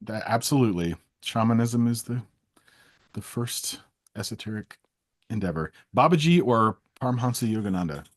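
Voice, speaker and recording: A man with a husky edge and a lively, swooping delivery. He plays interviewer, firing off quick either-or choices and teasing his guest as he goes. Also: on the low side. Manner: playful, teasing. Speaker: interviewer, host. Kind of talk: rapid either-or quiz in casual chat.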